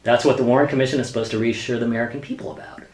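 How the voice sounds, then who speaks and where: An actor playing a hesitant, exasperated government office worker and husband in a sitcom-style radio play, with a wry manner. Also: male voice, medium pitch, fairly even intonation, very fast, darkish tone, lightly acted. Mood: patient, weary. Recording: roomy, echoey sound.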